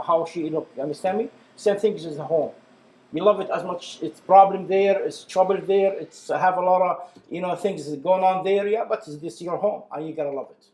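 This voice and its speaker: Man, fairly high voice, earnest broken English, echoey room. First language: Arabic. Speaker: interviewee. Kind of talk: casual personal testimony. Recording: echoey room with faint noise.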